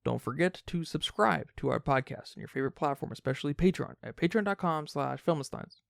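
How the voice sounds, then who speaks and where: A man's voice: on the high side, lively intonation, a little fast, gravelly, no room sound. A man hosting a film review podcast in a casual, conversational way. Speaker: podcast host.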